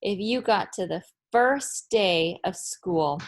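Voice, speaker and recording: A woman with an unhurried pace speaks as a teacher to young listeners. She addresses them directly and expressively, in the style of a storybook read-aloud. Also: medium pitch, animated. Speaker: teacher reading aloud to students.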